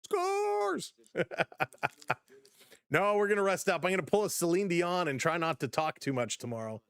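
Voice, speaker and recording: A chatty, upbeat man calling a baseball game live for his stream, breaking off to welcome viewers by name. His voice sits fairly high and has gone slightly hoarse from talking. The sound is dry and close, with no room echo. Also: sing-song, moderate pace. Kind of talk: casual play-by-play with chat reactions.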